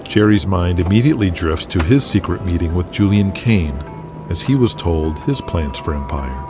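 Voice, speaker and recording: A man's voice: deep voice, lively intonation, normal speed, over loud music. A man narrating a scripted audio drama.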